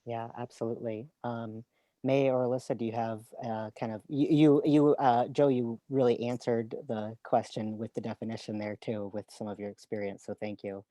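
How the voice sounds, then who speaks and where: A male moderator with a mellow, even voice speaks in a dry, close sound. He stumbles a little as he looks for the right words. He hands the floor to the panelists. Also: medium pitch, no echo. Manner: halting, searching for words.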